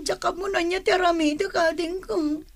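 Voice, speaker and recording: A woman's voice: very high voice, steady tone, moderate pace. An actress playing a debt-burdened married woman in an Ilocano radio drama, with a plaintive, sighing delivery and an Ilocano accent. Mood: tearful. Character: distressed married woman burdened by debts, remorseful wife.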